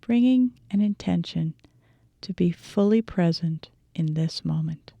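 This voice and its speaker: A woman leads a guided meditation in a soft-toned, mellow voice that sits slightly low. She phrases her instructions gently, leaving deliberate pauses, and the sound is close and dry. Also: creaky, dry recording. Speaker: meditation teacher.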